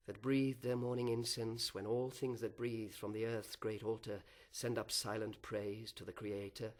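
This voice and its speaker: A man narrating literary verse dramatically, measured and solemn, with deliberate pauses. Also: medium pitch.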